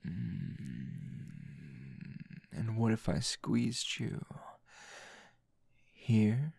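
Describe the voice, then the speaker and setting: A man playing a weary, teasing male teacher hero in an intimate ASMR roleplay, with a low gravelly voice and lingering pauses. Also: a little mellow, no echo, studio quality, full character voice. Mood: teasing, seductive. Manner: slow, breathy murmuring with sighs and long pauses.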